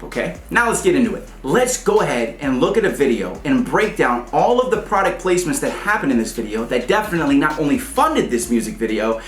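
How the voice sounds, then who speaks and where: Man, vlog host, rapid and upbeat, roomy echo on the voice. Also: a little high. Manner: energetic, repeats "okay?" often. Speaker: YouTube host for aspiring rappers. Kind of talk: fast enthusiastic explainer vlog.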